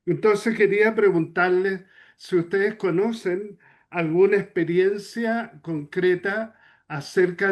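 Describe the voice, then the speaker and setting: A man puts a question to a panel, speaking in a somewhat high register. His tone is earnest and measured, a little like a speech. He builds the question step by step. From Chile. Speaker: participant asking a question.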